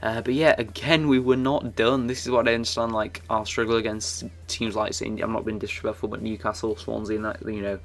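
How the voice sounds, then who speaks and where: Croaky young man, low flat voice. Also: a little fast, hardly any pauses. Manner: rambling, many fillers and restarts. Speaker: gaming YouTuber. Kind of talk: casual game commentary.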